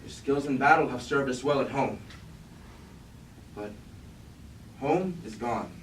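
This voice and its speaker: A man's voice: medium pitch, lightly acted. Man acting a noble robot king, roomy stage. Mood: weary, hopeful. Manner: measured, oratorical, archaic formal diction. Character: noble robot king, weary of war, calm and resolute. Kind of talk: staged reading of mock-classical verse tragedy. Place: indoor room at a staged reading. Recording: roomy, distant mic, faint noise.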